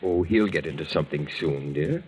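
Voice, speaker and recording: An actor playing an evasive, idle gambler husband, hesitant and defensive, in a 1940s radio drama. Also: male voice, a bass voice, brisk, a little mellow, slightly rough. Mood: sheepish pleading. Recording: old 1940s radio broadcast.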